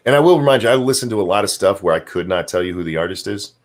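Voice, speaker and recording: Man, very deep voice, low pitch, lively rising and falling intonation, casual profane rambling, co-host. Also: very fast. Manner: profane, rambling, trails off searching for names. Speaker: podcast co-host. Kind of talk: casual livestream chat.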